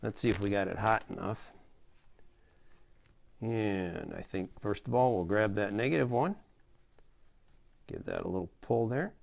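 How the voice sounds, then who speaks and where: A man's voice: lowish voice, unhurried, gravelly, long pauses. A middle-aged man giving a casual, hands-on tutorial in a folksy, step-by-step way. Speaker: how-to host demonstrating a repair.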